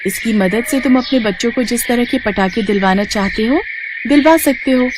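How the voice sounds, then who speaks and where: A woman voicing a grateful, gentle fairy in an animated moral story, speaking earnestly and graciously. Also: fairly high pitch, moderate pace, noisy background, pitched above the performer's own voice, natural voice. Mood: kindly granting. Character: grateful gentle female fairy freed from a bottle.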